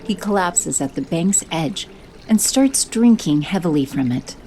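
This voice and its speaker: Woman narrator, scripted, steady, lowish voice, mellow. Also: unhurried, soft background music, rough recording. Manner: steady, descriptive.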